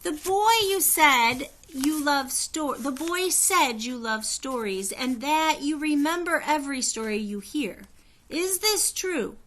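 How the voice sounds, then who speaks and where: A woman voicing a regal fairy queen in a children's storybook, proud and solemn, with a high, clear, stately voice. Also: animated, slow, a bit bright, exaggerated voice. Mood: commanding. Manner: stately, declamatory. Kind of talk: children's storybook read-aloud.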